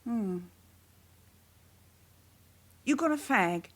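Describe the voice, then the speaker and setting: Sharp-tongued London mother, high swooping voice. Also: lively intonation, drawn-out words, heavily acted. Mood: wary, defensive. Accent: London working-class. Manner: wary, evasive, scolding. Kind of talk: radio drama acting.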